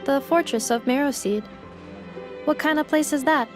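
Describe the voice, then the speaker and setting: Game role: formal, analytical robot assistant, measured and high-pitched, played by a woman. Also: loud music behind the voice, natural voice. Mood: polite. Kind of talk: video game voice acting.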